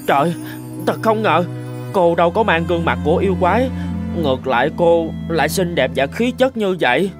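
A man's voice: on the high side, expressive, normal speed, close to an everyday voice. An actor voicing a timid, honest young servant man in a cartoon, stammering. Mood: nervous awe. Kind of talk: animated cartoon dubbing. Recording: music behind the voice.

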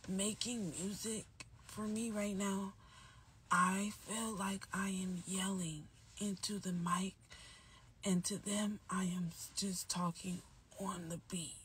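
Hoarse, soft-voiced young woman livestreaming. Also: medium pitch, steady tone, takes it slowly, a little hiss or hum. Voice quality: soft, whispery voice. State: hoarse, voice nearly gone. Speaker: livestream host. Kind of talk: casual livestream chat. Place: dark room at night. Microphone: phone held close.

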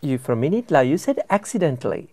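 A man's voice: a little high, very animated, mellow, dry recording. Sri man hosting a TV interview. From Sri Lanka. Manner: halting, with fillers. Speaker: TV host. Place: TV studio. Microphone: lapel.

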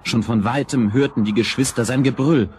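A man's voice: medium pitch, moderate pace, darkish tone, slight background noise. A man narrating a scripted fairy tale for a children's audio play.

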